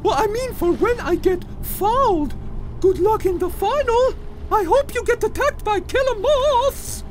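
A man's voice: extremely high pitch, lively intonation, unhurried, slight background noise. A man voicing a vain, flippant young male footballer in a comedy cartoon parody. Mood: breezy, flippant.

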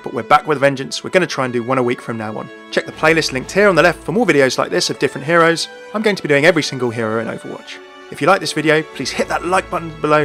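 A man's voice: a little high, fairly bright tone. A man hosting a video, reading scripted commentary on a video game. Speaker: video host.

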